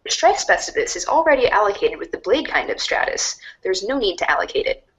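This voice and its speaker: Animated woman narrator with swooping pitch. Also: brisk, rough recording. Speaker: narrator in a webcomic reader's theater. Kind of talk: animated storybook narration read aloud.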